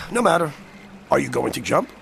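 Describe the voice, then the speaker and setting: An actor playing a sly, courtly older stranger in a radio drama, with a teasing, ornately polite manner. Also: male voice, on the high side, big pitch swings, normal speed, vocal fry, a bit bright, slight background noise, full character voice. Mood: amused, teasing.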